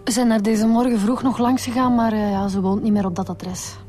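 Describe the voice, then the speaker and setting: Mellow-voiced Flemish woman detective played by an actress. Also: medium pitch, steady tone, brisk, faint music behind, close to an everyday voice. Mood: businesslike. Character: diligent, brisk female police detective. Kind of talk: radio drama acting.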